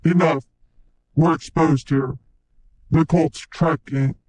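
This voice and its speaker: A man's voice: fairly even intonation, slow pace, darkish tone, no echo. A man voicing a grim, menacing cyborg game character in terse, ominous lines, processed with robotic voice effects. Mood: grim, threatening. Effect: robotic cyborg pitch and chorus effects.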